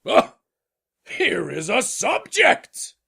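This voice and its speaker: A pompous storybook king in a theatrical radio play. The voice is high and heavily creaky, swooping wildly as it issues grandiose commands in a raspy tone. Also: huge pitch swings, extremely slow, bright, forceful tone, exaggerated voice. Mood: imperious. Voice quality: gravelly, raspy. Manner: grandiose, commanding, sputtering when vexed. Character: pompous, absolute but good-hearted king of a tiny planet. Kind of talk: theatrical radio drama acting.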